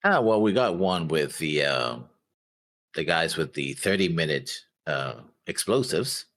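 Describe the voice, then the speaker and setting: A man's voice: rumbling low, lively intonation, measured pace, a bit bright. A man co-hosting a show, chatting casually about a fandom. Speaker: reaction show co-host. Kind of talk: casual fan discussion.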